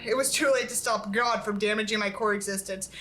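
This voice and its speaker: Bright-voiced woman reading theatrically. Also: medium pitch, steady tone, fairly quick, faint music behind. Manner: theatrical, sarcastic. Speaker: YouTube book reviewer. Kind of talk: reading a novel aloud with mocking commentary.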